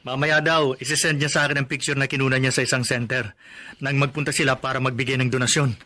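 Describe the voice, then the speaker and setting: Protective, worried husband played by an actor. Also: male voice, medium pitch, little pitch movement, quick pace, pushed and bright, close to an everyday voice. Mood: relieved. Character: protective young husband and expectant father, firm, worried. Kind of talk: radio drama acting.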